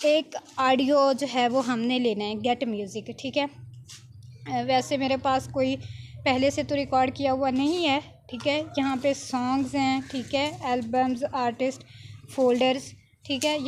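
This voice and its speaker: A woman giving an informal software tutorial, explaining step by step with frequent check-in tags. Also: high-pitched, slight background noise. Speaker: video editing instructor.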